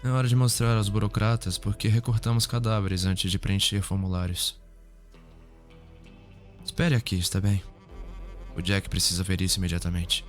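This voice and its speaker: A man's voice: on the low side, flat delivery, moderate pace, pauses often, close to an everyday voice. A man with a Brazilian accent playing a businesslike, formal forensic investigator weary of bureaucracy in an ASMR roleplay. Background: background music.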